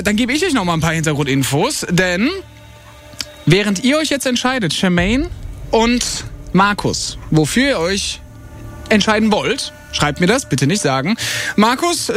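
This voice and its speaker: Animated high-pitched man hosting radio. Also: normal speed. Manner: upbeat, informal, repeats words. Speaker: radio host. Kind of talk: live radio game-show hosting. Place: radio studio. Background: music bed.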